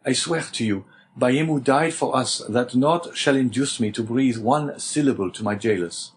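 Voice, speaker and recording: A man's voice: medium pitch, moderate pace, slight background noise, pitched above the performer's own voice, lightly acted. A man voicing a desperate young male prisoner, pleading and emotional, in an audiobook reading.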